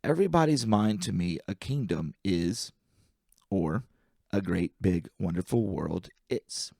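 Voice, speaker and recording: A man reading humorous poetry aloud as an amateur, with a deliberate, wry delivery and careful enunciation. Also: deep voice, lively intonation, gravelly, mellow, no echo, studio quality.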